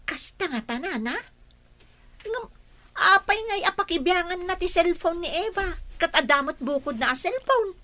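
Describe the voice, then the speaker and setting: Gossipy, deferential Ilocano maid, played by a woman for radio comedy drama; very high, forward, bright tone. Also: animated, normal speed, heavily acted. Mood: questioning. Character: deferential, gossipy young female housemaid.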